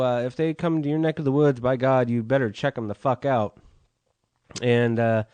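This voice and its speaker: A man's voice: on the high side, no room sound. A man hosting a radio show, chatting loosely and profanely between songs while stuffed up from a cold. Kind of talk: casual rambling on-air chat.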